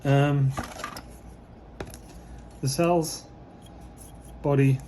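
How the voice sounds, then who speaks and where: A slightly hoarse man, losing his voice, giving relaxed, rambling commentary as he unboxes a model kit, with many fillers. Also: fairly high pitch, extremely slow, a little hiss or hum.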